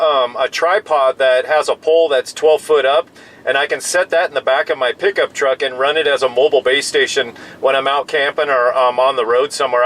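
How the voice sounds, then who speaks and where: A man's voice: mid-range voice, fairly bright tone, low-quality recording. An American man in casual CB radio conversation, friendly and full of radio jargon. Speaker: radio operator. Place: in a parked vehicle outdoors. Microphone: CB radio microphone.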